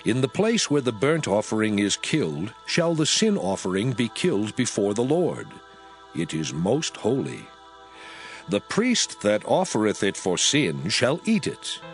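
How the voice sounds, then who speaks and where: God, solemnly declaiming with sweeping pitch. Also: male voice, wildly swooping pitch, measured pace, full character voice. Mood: solemn, commanding. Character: God solemnly proclaiming sacred laws to Moses. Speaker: scripture voice actor. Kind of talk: dramatized scripture reading. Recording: music under the voice. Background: background music.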